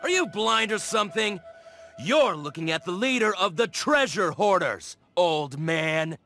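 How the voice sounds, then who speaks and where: A man's voice: high-pitched, pushed and bright, dry recording, full character voice. A man playing a cocky, threatening bandit gang leader in a video game, brash and taunting. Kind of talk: English game voice acting. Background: background music.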